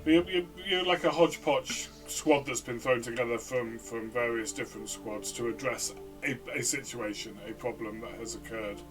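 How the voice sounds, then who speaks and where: A man's voice: mid-range voice, normal speed, recorded from a distance, music in the background. A man co-hosting a casual game review chat, hesitant and full of fillers and restarts. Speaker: podcast co-host, player.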